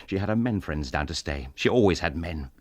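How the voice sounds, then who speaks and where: An actor playing a tormented English gentleman in a radio drama, speaking with intense, bitter, clipped delivery. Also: deep voice, animated, fairly quick, dry recording. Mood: bitter, anguished. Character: tormented English gentleman husband confessing to killing his wife.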